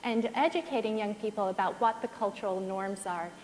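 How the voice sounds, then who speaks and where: A woman with an American accent, raised in the States but born in Ireland, speaking on a conference panel in a clear, lightly humorous explaining way. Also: medium pitch, fairly quick, darkish tone, slight background noise. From Ireland, raised in the United States. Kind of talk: panel talk. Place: conference hall. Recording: reverberant conference hall.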